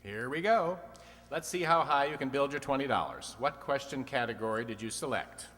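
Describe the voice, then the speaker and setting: A man's voice: mid-range voice, expressive, moderate pace, a bit bright, close to an everyday voice. A man playing a wisecracking, teasing male host of an old-time radio comedy quiz, speaking in a wry, quipping manner. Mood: brisk, wry. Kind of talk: 1940s-50s comedy radio quiz re-enactment. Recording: echoey hall, long reverb.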